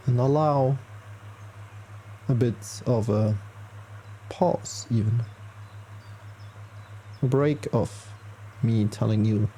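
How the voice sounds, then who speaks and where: A man leading a guided meditation as a yoga teacher, speaking calmly and gently with unhurried pauses. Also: mid-range voice, a little hiss or hum.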